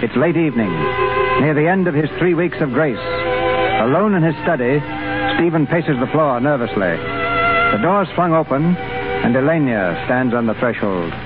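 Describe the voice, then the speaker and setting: A man giving scripted radio narration in a formal, announcer-like voice, pitched a little high. Loud music plays under him, and the sound is tinny like an old broadcast. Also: lively intonation, moderate pace.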